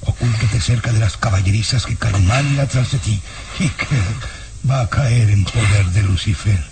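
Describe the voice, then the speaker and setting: An actor playing a scheming, domineering villainous baron in a radio drama, with imperious, conspiratorial delivery. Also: male voice, fairly low pitch, moderate pace, far from the mic, natural voice. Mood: conspiratorial, menacing. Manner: imperious, conspiratorial, hissed orders. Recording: noisy old radio drama recording.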